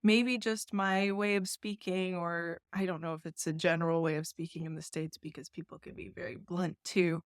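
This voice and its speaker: A woman from New York, a festival founder, talking through an interview in a soft, diplomatic way and laughing often. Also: on the low side, flat delivery, moderate pace, hardly any pauses, no echo, clean studio sound. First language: English. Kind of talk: casual interview chat.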